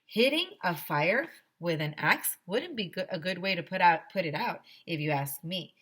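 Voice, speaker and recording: A middle-aged woman reading a children's story aloud like a teacher, with expressive, theatrical emphasis into a headset microphone. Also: fairly low pitch, normal speed. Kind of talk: animated children's story read-aloud in a schoolboy's first person. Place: small decorated room indoors.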